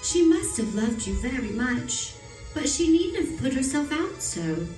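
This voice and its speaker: Flirtatious, sympathetic sweetheart female performer for a radio play, gentle and coaxing, roomy sound with music behind. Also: high voice, very animated, a little slow, recorded from a distance. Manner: soft, coaxing, tender. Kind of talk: old-time radio suspense acting.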